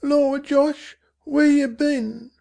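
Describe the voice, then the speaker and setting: A man's voice: very high-pitched, steady tone, extremely slow, creaky, mellow, voice pushed up, full character voice. A man voicing an anxious working-class London mother, whimpering and pleading.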